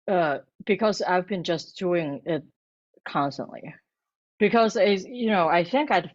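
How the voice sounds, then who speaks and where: A woman whose first language is Chinese, a cookbook author talking about her work in a halting way with many restarts. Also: on the low side, highs cut off. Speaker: cookbook author and food blogger. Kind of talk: bookstore author talk.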